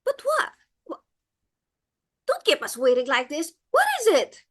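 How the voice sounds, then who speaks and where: Woman playing a high, bright, imperious society matron. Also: wildly swooping pitch, unhurried, full character voice. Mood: exasperated. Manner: exclamatory, imperious, melodramatic. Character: haughty, fretful society matron hosting a dinner party. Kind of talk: theatrical stage comedy acting.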